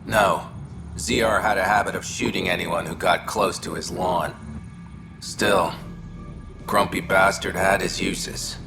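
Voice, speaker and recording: An actor playing a gruff, wry exile who runs a rough outpost inn in a science fiction video game. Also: male voice, low-pitched, steady tone, measured pace, loud music behind the voice. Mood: guarded, wry.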